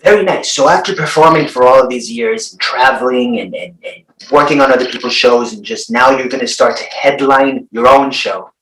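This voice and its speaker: A man's voice: mid-range voice, normal speed, hardly any pauses, a bit bright. A male podcast host who asks questions and encourages his guest, restating the guest's points. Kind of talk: casual interview. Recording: roomy, distant from the mic.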